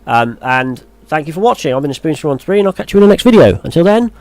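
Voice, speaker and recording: A man's voice: on the high side, very animated, quick pace, a little mellow. A man reading gaming news aloud as a YouTuber, then musing in a hesitant, chatty way. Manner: muses aloud, hesitant and chatty when commenting. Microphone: voice-over on a screen recording.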